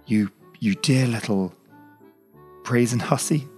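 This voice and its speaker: A man's voice: on the low side, moderate pace, a little mellow, faint music behind, voice pushed up, natural voice. A man voicing an impulsive young woman in love, reading her lines from a storybook in a raised, breathless, pleading voice. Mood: passionate.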